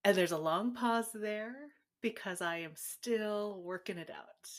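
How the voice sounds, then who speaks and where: Guest author, woman, mid-pitched, reflective and candid, lifts when animated. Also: measured pace. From United States, born in Taiwan. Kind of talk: casual interview chat.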